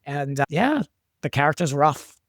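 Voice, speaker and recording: A man's voice: a little high, big pitch swings, moderate pace, dry recording, clean studio sound. A man chatting casually on a podcast, effusive and emphatic, repeating words for stress.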